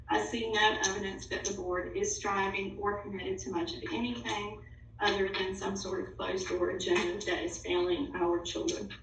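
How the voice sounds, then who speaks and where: A woman's voice: mid-range voice, gravelly, a bit bright. A woman reading a written statement aloud at a public meeting in a formal, stern manner. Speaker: speaker reading a public complaint to a school board. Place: indoor public meeting room. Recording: roomy, distant mic, faint noise.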